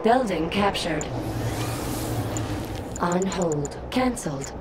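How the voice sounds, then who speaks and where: A woman voicing a calm alien battle computer in a strategy game, giving clipped, impersonal status announcements. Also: on the low side, slow, heavy background noise.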